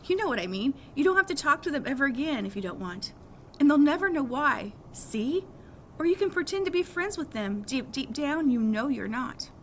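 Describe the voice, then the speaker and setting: A woman's voice: high voice, big pitch swings, moderate pace, a bit bright, voice pushed up, heavily acted. A woman voicing an earnest, impatient teenage big sister in a novel read-aloud, with emphatic, persuasive delivery. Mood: coaxing. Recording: clearly audible background noise.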